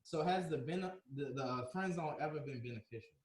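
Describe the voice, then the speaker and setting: A man's voice: on the high side, fairly quick. A man in his twenties guesting on a casual podcast, agreeable and a little hesitant. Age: 20s. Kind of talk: casual group chat. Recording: roomy echo.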